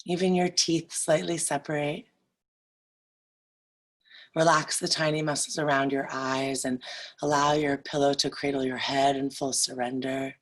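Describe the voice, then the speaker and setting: A woman with a low, breathy-rough voice guides a meditation. She speaks very slowly and deliberately, leaving long pauses between short phrases. Her delivery is soothing and instructional throughout. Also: little pitch movement. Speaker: yoga nidra meditation guide.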